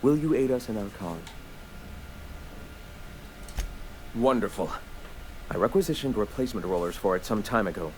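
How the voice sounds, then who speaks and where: An actor voicing a genteel, eccentric rebel leader in a video game, speaking in a wordy, theatrical, idealistic way. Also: male voice, fairly low pitch, lively intonation, quick pace, a little mellow, a little hiss or hum, close to an everyday voice, rough recording. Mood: pleased, inviting. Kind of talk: video game dialogue acting.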